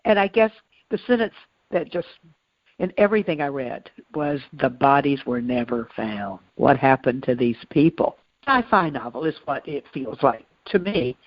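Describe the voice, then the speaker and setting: A woman talks casually as a podcast co-host, thinking aloud in halting, reflective phrases. The recording is very dry and a little muffled. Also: rumbling low, lively intonation, no echo. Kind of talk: casual conversational discussion.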